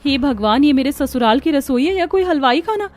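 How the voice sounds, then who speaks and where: A woman's voice: high voice, animated, brisk, voice pushed up. A woman voicing a put-upon young daughter-in-law in a family cartoon, with a wry, complaining manner. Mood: overwhelmed grumbling.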